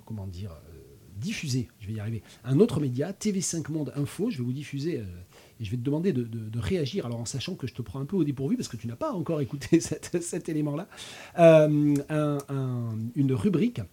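A man's voice: medium pitch, expressive, fairly quick, dark tone, husky. A man hosting a radio discussion, hesitant and often stumbling over his words. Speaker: radio host. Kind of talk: casual hosted discussion.

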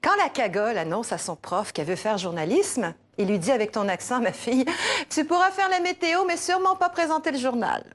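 A woman's voice: a little high, fairly quick, a little hiss or hum. A woman from Quebec with a Québécois accent, delivering a wry, scripted broadcast commentary as a journalist. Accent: Québécois French. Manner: wry, lively, ironic delivery. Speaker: journalist giving a commentary segment.

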